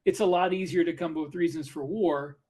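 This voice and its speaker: A man with a somewhat high-pitched voice. He speaks as a podcast co-host in a casual panel debate, opinionated and ready to argue a point. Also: steady tone, a little fast, warm tone, husky.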